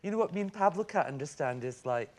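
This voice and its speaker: A man's voice: fairly high pitch, expressive, fast talker, a little mellow. A man co-hosting a live radio interview, eagerly interjecting and asking questions. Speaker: radio co-host. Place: radio theatre with live audience. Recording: live audience noise behind.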